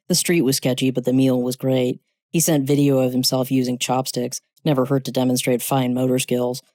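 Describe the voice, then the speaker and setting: A storyteller reads scripted fiction in a dark, mellow voice. The pitch sits in the middle, and the sound is very dry, with no room around it. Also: no echo. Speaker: story narrator. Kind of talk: scripted short-story narration.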